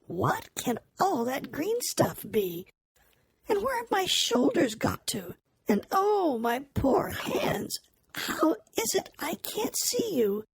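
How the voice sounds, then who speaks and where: A man's voice: extremely high pitch, huge pitch swings, slow pace, vocal fry, pitched above the performer's own voice, full character voice. A man reading a storybook aloud, voicing a polite, earnest little girl who grows prim and indignant. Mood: wondering.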